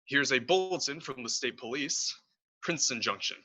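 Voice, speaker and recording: A man's voice: mid-range voice, animated, normal speed, pushed and bright. A man acting a serious radio news announcer in a radio play, reading bulletins formally. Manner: formal, scripted. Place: room at home. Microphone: headset.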